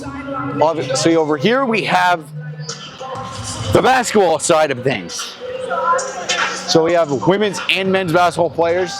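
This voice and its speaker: A man speaking informally as a host, giving a casual on-camera tour and doing interviews, often restarting his phrases. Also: fairly high pitch. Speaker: show host and tour guide. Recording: music behind the voice. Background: music.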